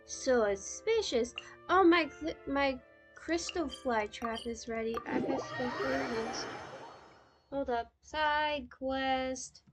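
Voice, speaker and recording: A woman's voice: sits high, slow pace. A woman streaming a game with casual live commentary, playful and blunt, often muttering to herself. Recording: game music behind the voice. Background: game music.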